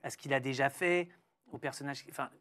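A man's voice: medium pitch, fairly quick. A man who works as a dubbing director, talking in casual chat on a studio set with a reflective, explanatory manner. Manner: reflective, explanatory, animated when quoting himself.

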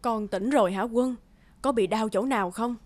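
A woman's voice: on the high side, normal speed, lightly acted. A woman voicing a caring, firm middle-aged aunt in a cartoon. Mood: gentle concern. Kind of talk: cartoon voice acting. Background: prominent music.

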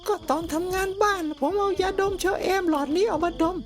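Cartoon grandson: very high boyish pitch, chatty, cheeky, naive. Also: female voice, brisk. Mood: eager, explaining. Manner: earnest, rambling explanations with cheeky asides. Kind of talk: cartoon comedy dialogue.

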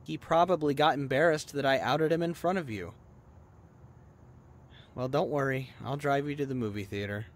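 A man's voice: mid-range voice, moderate pace, long pauses, close to an everyday voice. A man playing a brash, chatty young adult friend in a conversational roleplay audio drama, talking bluntly and casually. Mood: scornful.

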